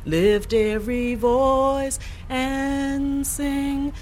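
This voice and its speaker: A woman's voice: high-pitched, level tone, very slow, darkish tone, soft background music. A woman in a documentary interview, half-singing and fumbling for the words at times.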